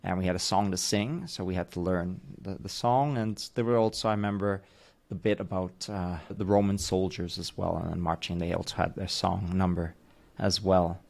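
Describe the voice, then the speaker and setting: A man's voice: deep voice, steady tone, normal speed, gravelly, darkish tone. A man in his thirties who grew up in the United States, telling stories thoughtfully and rephrasing carefully as a podcast co-host. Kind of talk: reflective conversational podcast.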